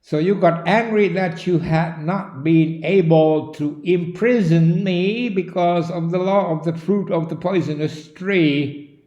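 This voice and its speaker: Slow, emphatic Finnish-accented man. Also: high-pitched, echoey room, muffled recording. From Finland. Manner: slow, deliberate, loud and emphatic. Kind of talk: direct-to-camera monologue.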